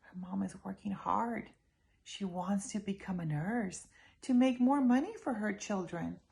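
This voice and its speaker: A woman reading a children's story aloud in an expressive, emphatic way, speaking directly to her young listeners. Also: medium pitch, measured pace, vocal fry.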